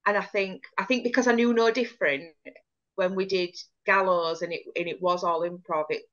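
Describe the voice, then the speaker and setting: Woman, casual guest chat, bright tone, dull lo-fi call audio. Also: mid-range voice, fairly even intonation, a little fast. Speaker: guest actor. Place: small room at home. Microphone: laptop mic on a video call.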